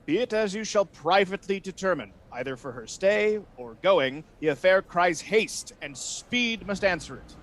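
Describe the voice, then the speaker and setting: A man playing a brisk, authoritative duke in a Shakespearean radio play, formal and commanding. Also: high-pitched, lively intonation, fairly bright tone. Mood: decisive.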